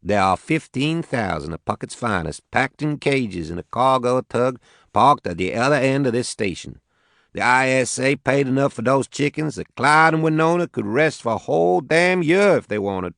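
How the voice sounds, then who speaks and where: A man's voice: mid-range voice, animated, normal speed, creaky, a bit bright, dry recording, clean studio sound, voice pushed up. A man voicing a brash young male farmer with a Southern US accent, folksy and swearing freely, in an audiobook. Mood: boastful. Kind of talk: audiobook character voice.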